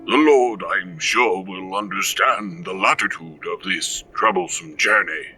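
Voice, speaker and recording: A man playing a solemn village reverend in an audio drama, preaching and reciting scripture in a measured way. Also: sits low, bright, forceful tone, far from the mic, faint music behind, heavily acted. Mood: calm, reassuring.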